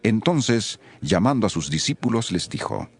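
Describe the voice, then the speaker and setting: A man's voice: fairly low pitch, big pitch swings, normal speed, slightly rough. A man narrating scripture from a script as the storyteller of an audio production.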